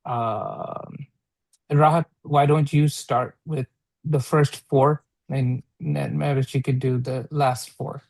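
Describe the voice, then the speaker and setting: A man teaching an informal interactive read-along class, explaining phrase by phrase in a halting way and often checking for understanding. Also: medium pitch, level tone, creaky, darkish tone, no echo. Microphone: online video call.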